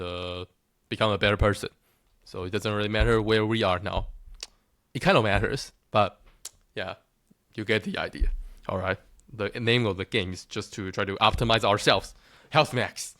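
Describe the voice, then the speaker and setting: Taiwanese male creator, low voice, dry close mic, casual and confident. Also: fairly bright tone, no room sound. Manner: self-assured, joking, with frequent restarts. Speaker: looksmaxxing content creator. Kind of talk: casual monologue to followers.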